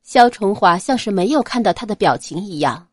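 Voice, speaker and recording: A woman narrating an audiobook in an expressive, scripted storytelling style. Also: mid-range voice, a little fast, no room sound, clean studio sound.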